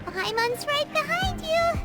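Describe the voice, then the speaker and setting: A tiny, excitable fairy sidekick from a video game. The voice is extremely high, squeaky and childlike, with very animated intonation and unhurried, careful pacing. Game music plays clearly behind it. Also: female voice, exaggerated voice. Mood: wary. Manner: refers to self in third person, hesitant and expressive. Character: tiny excitable childlike female fairy companion, easily spooked. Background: orchestral game music.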